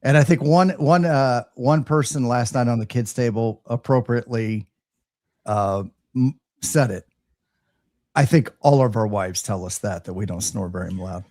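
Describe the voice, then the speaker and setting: A man speaks in a relaxed, chatty storytelling style as a show host. His delivery is halting, with words often repeated as he goes. The sound is dry, with very little room echo. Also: mid-range voice. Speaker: host of a live ham radio show. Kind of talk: casual chat, storytelling.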